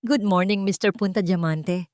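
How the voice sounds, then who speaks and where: A woman voicing a calm, formal attorney on a business call, polite and measured, in an audiobook drama. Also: mid-range voice, no room sound, clean studio sound, close to an everyday voice.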